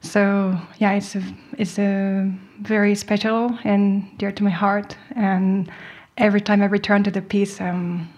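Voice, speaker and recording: Woman in her late 20s, talks softly with lots of ums, laughs shyly. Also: medium pitch, little pitch movement, measured pace. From Korea. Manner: soft-spoken, hesitant, many ums, laughs shyly. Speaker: guest cellist. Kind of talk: radio interview answers. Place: radio performance hall studio.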